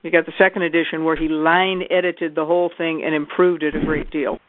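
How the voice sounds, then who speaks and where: A woman's voice: low voice, little pitch movement, fairly quick. Knowledgeable woman on a phone line. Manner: knowledgeable, precise, corrects herself. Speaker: guest, literary scholar. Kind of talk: casual book discussion. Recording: dry tinny phone line.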